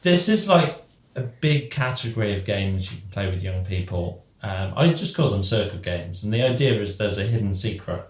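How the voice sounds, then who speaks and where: Guy hosting a chatty podcast, kinda low voice, lots of ums, echoey room. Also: expressive, moderate pace, few pauses. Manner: explains rules patiently with fillers. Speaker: podcast host running a game. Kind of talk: casual group chat. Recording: roomy echo.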